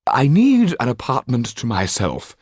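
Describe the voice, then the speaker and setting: A man's voice: mid-range voice, huge pitch swings, unhurried, darkish tone, husky, no echo, clean studio sound, voice pushed up, heavily acted. A man voicing an imperious, deluded French marquis in an audiobook, haughty in manner.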